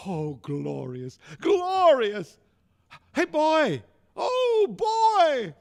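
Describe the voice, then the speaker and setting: Old miser for radio play: high, gravelly voice, impassioned, theatrical delivery that swings widely. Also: male voice, wildly swooping pitch, extremely slow, darkish tone, exaggerated voice. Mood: giddy joy. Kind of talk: golden age radio drama acting.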